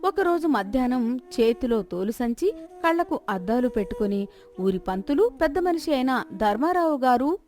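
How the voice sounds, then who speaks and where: High-voiced woman narrating a moral story. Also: big pitch swings, moderate pace, a little mellow.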